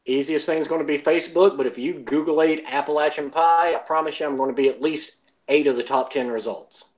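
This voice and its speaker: A man's voice: a little high, brisk, poor audio. A man speaking as a podcast guest, politely and casually plugging his own show.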